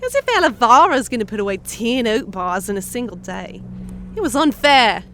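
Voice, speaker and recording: A woman's voice: a little high, sing-song, normal speed, a bit bright, slight background noise. A woman playing a feisty, indignant fantasy woman who complains emphatically in an audio drama. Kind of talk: audio drama character acting.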